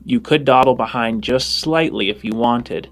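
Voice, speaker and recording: A man's voice: medium pitch, normal speed, a bit bright. A man improvising narration as game master of a tabletop role-playing game. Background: music.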